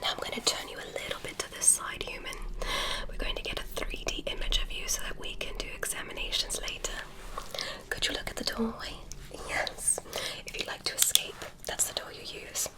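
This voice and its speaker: A woman's voice: lightly acted, poor audio. A woman whispering as a curious alien doctor. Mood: curious, soothing. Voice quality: breathy whisper. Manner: soft, slow whispering with gentle instructions. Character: curious, polite female alien doctor examining a human. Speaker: ASMR creator. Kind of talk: ASMR medical roleplay. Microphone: close mic.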